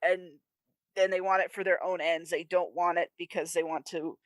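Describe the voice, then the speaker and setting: A woman's voice: lowish voice, fairly even intonation, normal speed, no room sound. A woman co-hosting a podcast, chatting casually about a film in an emphatic way with exasperated asides. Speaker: podcast co-host. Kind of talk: casual chat, film discussion.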